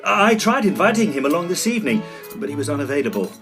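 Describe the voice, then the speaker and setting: A man's voice: a little high, fast, over music. A man playing a genteel Edwardian ghost hunter in an audio drama, telling his tale formally and expressively. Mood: genial, composed. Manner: formal, expressive, old-fashioned phrasing. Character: genteel Edwardian gentleman ghost hunter, sociable, easily shaken. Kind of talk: scripted first-person storytelling, period audio drama.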